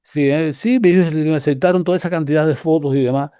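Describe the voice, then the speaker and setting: An older Cuban man speaks in a mellow, slightly high voice, his Cuban Spanish accent clear. He rambles through the story with frequent restarts and pauses. The sound is dry and close. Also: fairly even intonation, no room sound. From Cuba. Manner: rambling, halting with many restarts. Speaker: guest researcher. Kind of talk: casual conversational storytelling.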